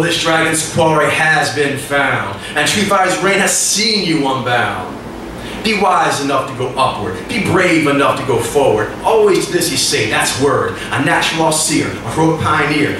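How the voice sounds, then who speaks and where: A man's voice: on the high side, expressive, measured pace. A man in his thirties performing rhymed spoken-word poetry in a declamatory, rhythmic way, at a stand microphone in a small indoor venue. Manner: declamatory, emphatic, rhythmic delivery. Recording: echoey live room, distant mic, clear noise.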